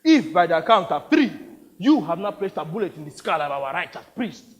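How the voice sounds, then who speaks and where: A man's voice: high voice, big pitch swings, a little fast. A man playing a savage, gloating African rebel warlord in a stage story, commanding and theatrical, in a reverberant hall. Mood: gloating menace.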